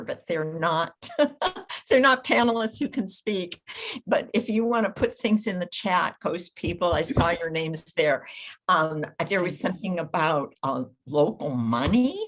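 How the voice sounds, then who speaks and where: A woman panelist recollecting informally and haltingly, trailing off as she searches her memory. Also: lowish voice.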